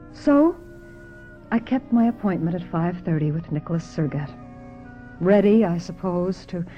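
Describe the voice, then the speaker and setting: An actress in a radio drama playing a gentle, ambitious young star, with measured and dramatic delivery. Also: female voice, medium pitch, natural voice. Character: gentle, ambitious actress looking back, guarded and willing. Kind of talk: radio drama acting with confessional narration. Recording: dull old radio recording.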